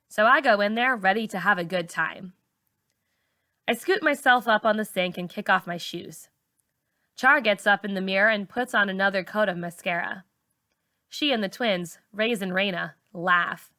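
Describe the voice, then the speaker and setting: A teacher reading a novel aloud in the first person, telling the story expressively. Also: female voice, medium pitch, pushed and bright, no room sound, studio quality. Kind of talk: audiobook-style novel reading.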